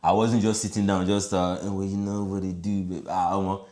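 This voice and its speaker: Low-voiced Nigerian man, casual reaction host. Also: little pitch movement, fairly quick, dark tone. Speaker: host of a challenge reaction video. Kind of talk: casual reaction chat with Yoruba and Pidgin mixed in.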